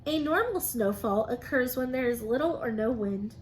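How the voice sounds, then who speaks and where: A woman's voice: fairly high pitch, animated, normal speed. A woman teacher reading aloud and explaining science to young children in simple, encouraging phrases. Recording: noticeable background noise.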